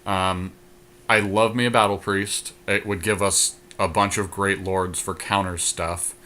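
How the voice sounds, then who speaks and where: Low-pitched man talking through card picks. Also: flat delivery, measured pace, bright, forceful tone. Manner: thinks aloud, deliberating over choices. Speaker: podcast co-host. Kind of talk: casual card game draft commentary.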